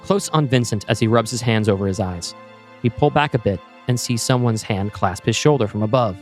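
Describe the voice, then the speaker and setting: A man narrating a scripted screenplay in the present tense, with measured, descriptive storytelling. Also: fairly low pitch, faint music behind. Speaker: narrator and host.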